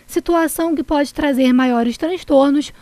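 A woman reporting on the news, narrating the story in a clear, measured voice-over. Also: high-pitched, warm tone, no room sound.

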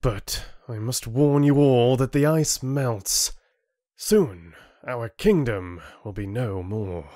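A man voicing a hot-tempered, defiant young man in a fantasy audiobook, indignant, forceful and earnest. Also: medium pitch, animated, slow pace, no room sound, studio quality, voice pushed up. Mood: tense, restrained anger. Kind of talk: audiobook character acting.

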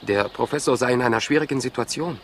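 A man's voice: medium pitch, fast, a bit bright, a little hiss or hum, natural voice. An actor playing an ardent, naive, earnest young male student in love, in a 1960s radio crime play. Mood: earnest, troubled.

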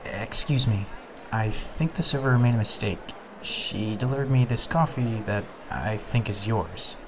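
A friendly, awkward young man, voiced by a performer who stammers as he talks. His middle-pitched voice sits over a faint background hum. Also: a little slow, lightly acted. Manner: stammering, nervous.

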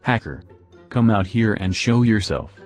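A man playing a powerful, menacing evil villain in an animated gaming roleplay, giving commanding threats. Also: lowish voice, measured pace, soft background music. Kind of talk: animated Minecraft kids roleplay acting.

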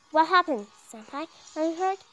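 A young woman reading a visual novel aloud, halting and stumbling over words as she narrates dramatically. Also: very high voice, lively intonation. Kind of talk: dramatic read-aloud of game text. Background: music.

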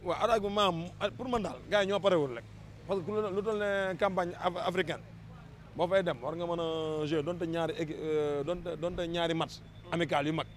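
A man's voice: a little high, fairly even intonation, normal speed. A Senegalese man giving an impassioned, emphatic opinion in a street interview, often repeating his words. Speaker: street interviewee, football fan. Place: busy city street, outdoors. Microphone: handheld interview microphone. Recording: outdoor street noise. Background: traffic and street ambience.

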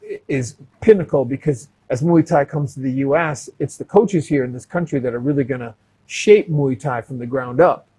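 A man's voice: mid-range voice, moderate pace, warm tone, husky, rough recording. A man in his thirties hosting a podcast interview, asking eager questions in casual slang inside a boxing gym. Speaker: podcast host. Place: boxing gym, seated in ring.

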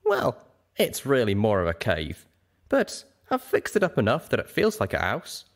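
Friendly huge dragon male narrator performing, polite, earnest, raised pitch, storybook read. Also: wildly swooping pitch, moderate pace. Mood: bashful. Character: friendly, huge grown male dragon, gently awkward host.

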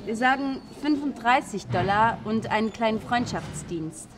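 An actress plays a confident young woman in a naturalistic film scene. Her voice is fairly high and her manner playful and teasing, with clear noise behind her. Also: normal speed, lightly acted. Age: young adult. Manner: playful, forward. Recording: clearly noisy location background.

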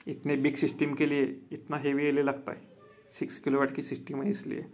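A man's voice: fairly high pitch, monotone, a little fast, a little mellow. A man, plainly walking viewers through a job on an outdoor rooftop and recording on a handheld phone. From Maharashtra, India. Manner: plain, repetitive, addresses viewers as friends. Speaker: solar installer and business owner. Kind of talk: walkthrough video commentary.

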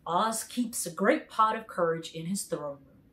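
A woman voicing an emphatic, awed countryman who knows of a great wizard, in a deliberate storybook read-aloud. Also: lowish voice, sharp tone. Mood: confident.

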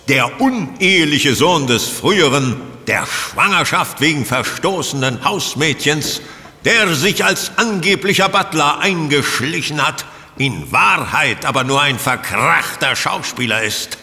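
An actor playing a pompous aristocratic stage detective, declaiming theatrically as in a melodrama. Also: male voice, a little high, animated, takes it slowly, pushed and bright, full character voice. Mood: triumphant. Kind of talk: stage melodrama within an audio drama. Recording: echoing theatre hall, faint music.

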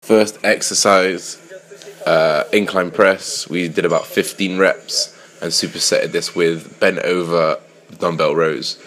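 A man's voice: sits low, fairly even intonation, slow, a bit bright, poor audio. A young man giving casual workout tips in a fitness vlog, upbeat and coaching, with some fillers. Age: young adult. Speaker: fitness vlogger.

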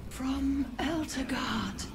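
A woman voicing the spirit of a dead woman speaking through her corpse in broken, halting words. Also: high-pitched, vocal fry, background noise, exaggerated voice. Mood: eerie. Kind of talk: video game voice acting.

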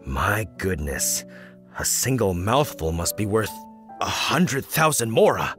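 Animated, forceful village hunter. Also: male voice, fairly low pitch, soft background music, exaggerated voice. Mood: astonished. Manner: grandiose, deliberate, dramatic. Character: hearty village hunter acting as solemn contest judge. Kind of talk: video game character acting.

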